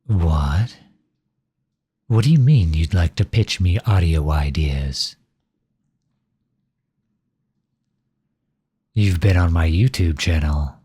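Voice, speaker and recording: Man playing a deep, grumpy tsundere guy. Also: lively intonation, a little slow, warm tone, husky, full character voice. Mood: puzzled, wary. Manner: sarcastic, flustered, grudging. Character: grumpy, exasperated male ASMR creator, tsundere. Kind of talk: ASMR roleplay acting.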